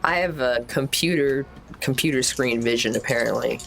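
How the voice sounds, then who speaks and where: Very low-voiced woman in casual game chat. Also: normal speed, gravelly, a bit bright. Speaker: tabletop game player.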